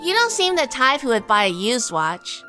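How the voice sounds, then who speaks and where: A shrewd noir heroine, played by a woman, speaks in a bright, highish voice. She is probing and suspicious, narrating a radio play over soft music in a dry recording. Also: wildly swooping pitch, normal speed, exaggerated voice. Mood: coy, probing. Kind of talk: noir audio drama narration and dialogue.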